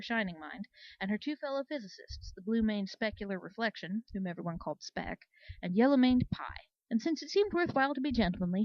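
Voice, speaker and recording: A woman reading a scripted story aloud in an expressive storybook manner. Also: medium pitch, dry recording, highs cut off. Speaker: story reader. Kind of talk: scripted narration of fan fiction.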